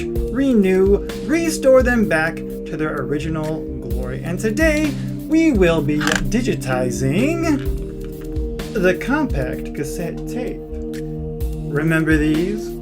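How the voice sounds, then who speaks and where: A man's voice: high-pitched, big pitch swings, takes it slowly, over loud music. A man hosting a video series, reading scripted narration with a theatrical, emphatic delivery.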